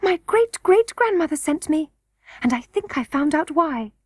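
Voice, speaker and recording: A woman's voice: high voice, very animated, a little slow, no echo, studio quality, pitched above the performer's own voice. A woman voicing an eager, trusting little princess girl, earnest and breathless, in a children's storybook reading.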